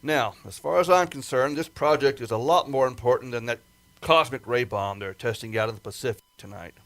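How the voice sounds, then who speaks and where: A man's voice: mid-range voice, a little fast, fairly bright tone, lightly acted. Man acting an insistent rocket project chief. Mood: stern, cautioning. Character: gruff, careful male rocket project chief briefing his pilot. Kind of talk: amateur retro 1950s sci-fi radio play acting.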